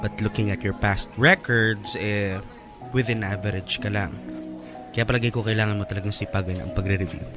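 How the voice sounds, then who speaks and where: An actor playing a frank but encouraging college professor with a Filipino accent, measured and switching between English and Tagalog. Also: lowish voice, steady tone, over music, close to an everyday voice. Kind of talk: radio drama acting.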